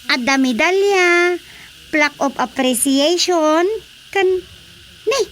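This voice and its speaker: A woman's voice: high voice, slow pace, a bit bright. A woman playing a fond, teasing Filipino daughter in a comedic radio drama, with playful, exclamatory delivery. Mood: admiring, playful. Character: fond, teasing daughter of an older village chairman. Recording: faint background noise.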